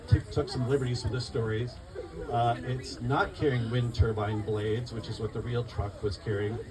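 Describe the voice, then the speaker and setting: A man reading his picture book aloud at a live event, expressive and rhythmic in the rhymes, with informal asides to listeners. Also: medium pitch. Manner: expressive, rhythmic storytelling of rhyming verse. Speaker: children's book author reading his own book. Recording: distant mic, noisy live room.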